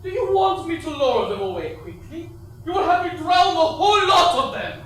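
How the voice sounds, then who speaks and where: A man's voice: very high-pitched, lively intonation, normal speed, fairly bright tone. A man on stage playing an exasperated, blustering ship's officer. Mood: exasperated, shouting. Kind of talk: stage play acting. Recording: very echoey distant stage, faint noise.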